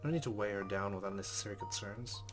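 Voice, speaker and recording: Let's player, mid-low voice, reading story narration with casual asides over clear guitar music. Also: quick pace.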